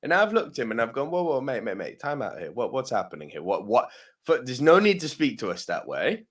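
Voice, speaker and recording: A man's voice: medium pitch, big pitch swings, a little fast. A man co-hosting a podcast, telling a long story casually, swearing freely, laughing often and asking "yeah?" as he goes. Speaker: podcast co-host.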